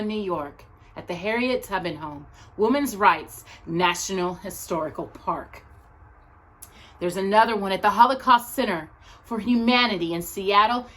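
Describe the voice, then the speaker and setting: A woman reading aloud as a storytime host, speaking earnestly and deliberately to young viewers, phrase by phrase. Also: mid-range voice, fairly bright tone.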